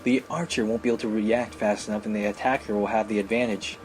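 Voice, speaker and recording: A man's voice: fairly low pitch, steady tone, fast talker, faint music behind, close to an everyday voice. A man voicing a bossy, teasing teenage little sister from an anime visual novel, lecturing and scolding.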